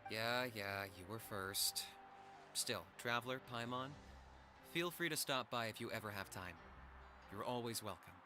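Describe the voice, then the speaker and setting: A man playing a cool young adventurer in a video game, speaking in a calm, low-key and polite way. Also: measured pace, over music, natural voice. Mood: casual, welcoming. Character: cool, laid-back young male adventurer. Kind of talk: understated video game dialogue.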